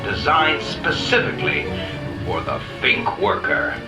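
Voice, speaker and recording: Slow, echoing pompous propaganda announcer. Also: male voice, mid-range voice, sing-song. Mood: condescending. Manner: theatrical, condescending. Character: pompous company propaganda announcer addressing poor workers. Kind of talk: old-time loudspeaker sales pitch in a game. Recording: echoey distant loudspeaker, loud music behind.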